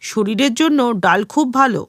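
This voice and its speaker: A bustling middle-aged mother acted by a woman from a cartoon family story. She speaks in a high, swooping voice, fond but briskly chiding. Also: animated, no echo, clean studio sound, natural voice. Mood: brisk, motherly.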